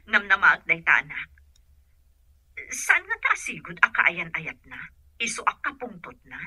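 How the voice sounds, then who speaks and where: A woman with an Ilocano Filipino accent and a husky voice playing an advising mother in a radio drama. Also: medium pitch, huge pitch swings, a little slow, bright, forceful tone, no room sound, exaggerated voice. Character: steady, advising mother of a grown son.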